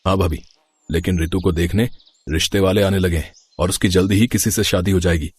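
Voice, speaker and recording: A man's voice: deep voice, steady tone, a little fast, darkish tone, slightly rough. A man playing a mischievous young village brother-in-law in a storybook tale, teasing and pleading. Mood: pleading, earnest. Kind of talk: storybook acting.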